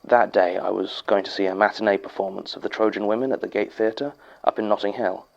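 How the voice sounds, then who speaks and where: An actor with a British accent playing a sober archivist who reads out an eerie testimony in a measured, formal way for a horror audio drama. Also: male voice, on the low side, fairly even intonation, gravelly, muffled recording. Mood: measured, matter-of-fact. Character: sober head archivist reading a theatre technician's eerie testimony. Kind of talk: horror audio drama, reading a recorded statement.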